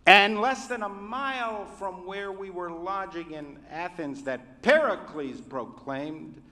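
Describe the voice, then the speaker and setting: A rabbi giving a sermon in a measured, oratorical way, with deliberate pauses. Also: male voice, high-pitched, bright, forceful tone. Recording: reverberant hall.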